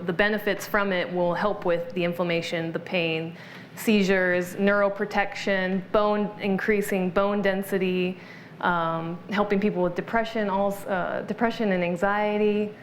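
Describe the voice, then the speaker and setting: A woman's voice: mid-range voice, slight background noise. A woman guest in an interview, explaining wellness topics in an informative, list-making way. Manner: informative, explanatory, lists benefits. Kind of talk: conversational interview.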